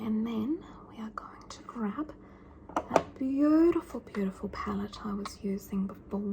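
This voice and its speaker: A soft, breathy whisper from a doting but bossy mother, as a woman plays her in an ASMR roleplay. She fusses and scolds in the same slow, lingering breath, calling everyone darling. Her voice sits a touch high, with a faint hiss of noise behind it.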